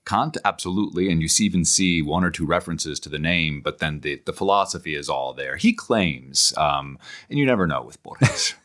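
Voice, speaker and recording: A man speaking as an interview guest, explaining at length in a scholarly way and often halting mid-phrase to search for words. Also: very deep voice, lively intonation, fairly bright tone. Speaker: podcast guest, author on philosophy and literature.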